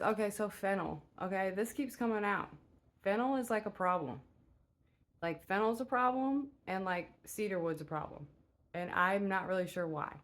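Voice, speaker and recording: A woman with a low, soft voice talks her way through a tarot reading in a relaxed, unhurried way. She meanders and fills her pauses with little hesitations. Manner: rambling and meandering, many filler "uh"s. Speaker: tarot reader. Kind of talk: casual spoken tarot reading.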